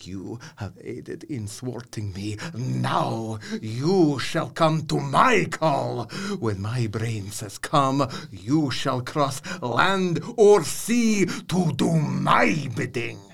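An actor playing an ancient, imperious vampire count who speaks in a grandiose, commanding manner in an audio drama. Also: male voice, mid-range voice, animated, extremely slow, vocal fry, heavily acted. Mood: gloating, commanding.

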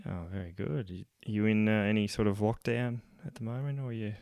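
Deep-voiced Australian man hosting a podcast. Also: fairly even intonation, brisk, darkish tone, no room sound. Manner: upbeat, laughs easily, many fillers. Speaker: podcast host. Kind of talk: casual podcast chat.

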